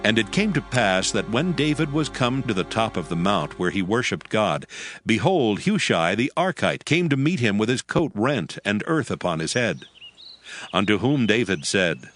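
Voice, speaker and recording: A man reads scripture as a formal narrator. His voice is on the low side and his delivery is measured. Background music is clearly audible beneath him. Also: sing-song, a bit bright.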